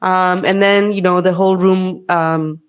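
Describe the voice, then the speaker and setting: A woman's voice: on the low side, level tone, measured pace, smooth. Chatty woman recapping a movie. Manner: chatty, hesitant, lots of fillers. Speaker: podcast host.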